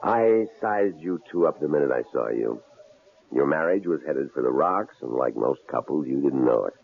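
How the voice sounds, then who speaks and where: An actor playing a shrewd, calm middle-aged psychology professor who posed as a killer, in an old radio drama, with a very deep, gravelly voice. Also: male voice, exaggerated voice. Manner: calm, measured, dryly amused. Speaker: university psychology department head. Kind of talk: 1950s-70s radio drama acting. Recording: tinny, lo-fi old radio recording with faint hiss.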